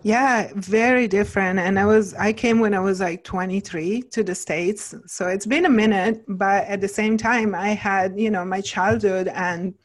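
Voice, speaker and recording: A woman's voice: mid-range voice, fairly even intonation, normal speed, few pauses, low-quality recording. Italian woman nutritionist, middle-aged, casual and rambling interview guest. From Italy. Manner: chatty, many fillers like "like" and "you know".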